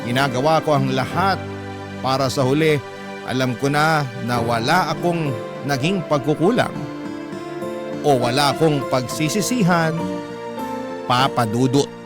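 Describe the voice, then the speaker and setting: A man's voice: medium pitch, measured pace, long pauses, over loud music. A man with a Filipino accent narrating a listener's letter emotively as a radio host. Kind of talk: dramatic radio storytelling narration.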